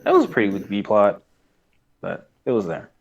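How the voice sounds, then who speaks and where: A man's voice: lowish voice, huge pitch swings, moderate pace, gravelly. A man co-hosting a podcast, recapping in casual chat with a hesitant, easily amused delivery. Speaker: podcast co-host.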